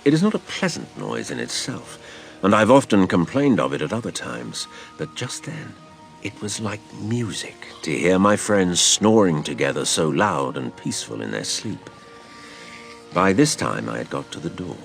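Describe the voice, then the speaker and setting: Man as deep, gravelly adventure narrator. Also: big pitch swings, normal speed, soft background music, exaggerated voice. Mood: suspenseful, hushed wonder. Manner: theatrical storytelling. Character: grown man recounting his boyhood adventures, vivid and literary. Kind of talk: scripted dramatic narration.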